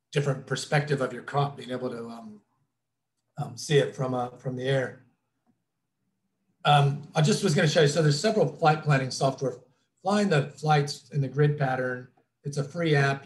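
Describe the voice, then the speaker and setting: A middle-aged man explaining things informally as a trainer in an online webinar, in a casual, loosely worded way, recorded indoors over a video call. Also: mid-range voice. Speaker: drone trainer presenting a webinar. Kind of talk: informal instructional presentation over slides.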